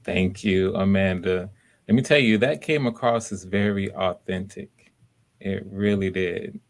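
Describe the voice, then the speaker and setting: A man's voice: sits low, a little slow. A man hosting live voice auditions, speaking casually and giving encouraging feedback.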